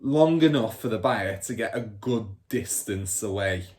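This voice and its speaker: A man's voice: on the low side, animated, measured pace, darkish tone. A man voicing a boastful, crooked middle-aged car dealer and rude father in a storybook, bragging and scornful with heavy emphasis. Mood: sly boasting.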